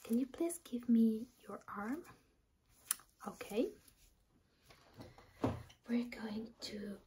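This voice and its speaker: Slow, whispering woman in ASMR doctor roleplay. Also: a little high, expressive, very noisy. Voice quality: breathy whisper, mostly unvoiced. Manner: gentle, reassuring, unhurried explaining. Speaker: ASMR performer playing a doctor. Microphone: close ASMR microphone.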